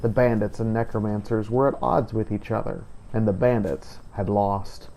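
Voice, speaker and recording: A man narrating a fantasy game adventure as a scripted story, in a measured, solemn manner. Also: on the low side, steady tone, vocal fry, warm tone, low-quality recording. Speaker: narrator of a game roleplay playthrough.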